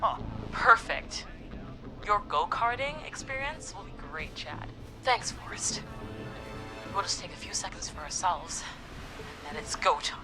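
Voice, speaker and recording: A woman's voice: mid-range voice, sing-song, unhurried, pauses often, music in the background. An actress playing a desperate young woman leading a getaway plan in a video game, with noise behind her voice. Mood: tense, organising.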